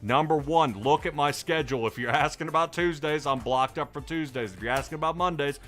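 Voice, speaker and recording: A man's voice: on the high side, fairly quick, fairly bright tone, faint music behind. A man hosting a sim racing broadcast, interviewing a driver and rambling upbeat commentary with many restarts. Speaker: race broadcast host.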